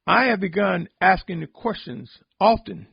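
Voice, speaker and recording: A man giving a solemn, sermon-like podcast reflection, exhorting deliberately with emphatic repetition in a husky, gravelly voice. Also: high-pitched, no room sound, muffled recording. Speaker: religious podcast host and preacher.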